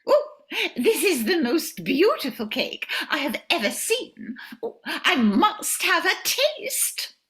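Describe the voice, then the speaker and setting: A woman's voice: sits high, big pitch swings, takes it slowly, few pauses, a bit bright, exaggerated voice. A woman playing a haughty, self-important young noblewoman in a theatrical, imperious manner for a radio comedy. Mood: grand, eager. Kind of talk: old-time radio comedy acting.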